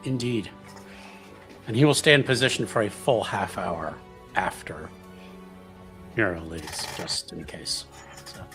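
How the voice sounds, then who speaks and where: A man's voice: deep voice, animated, a little slow, pauses often, music in the background. A man playing a tabletop roleplaying game, casually narrating what his character does.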